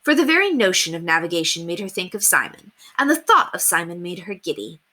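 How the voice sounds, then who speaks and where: A woman giving an expressive, dramatic narration of a children's novel, with deliberate phrasing. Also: lowish voice. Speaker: storybook reader.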